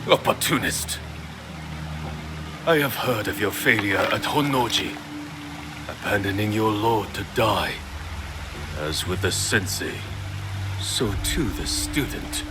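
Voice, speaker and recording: A bitter, loyal samurai master from a video game cutscene. His voice is lowish and very unhurried, each phrase formal and weighty. Clear rainy ambience sits behind him. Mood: scornful. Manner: grave, deliberate, formal. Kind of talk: dramatic game cutscene acting. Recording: noisy rain-like ambience behind.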